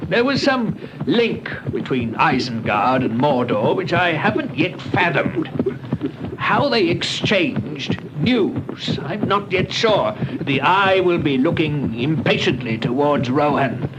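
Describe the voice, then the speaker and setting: An old wizard of great authority, stern but kindly. His voice rides high and swings widely, with theatrical declamation fit for a fantasy radio play. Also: sing-song, takes it slowly, muffled recording, heavy background noise. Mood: kindly, grave. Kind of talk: fantasy radio drama acting.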